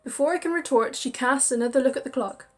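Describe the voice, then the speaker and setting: A woman reading a visual novel aloud with expressive storytelling and chatty asides. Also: high-pitched, quick pace, faint music behind. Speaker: game narrator.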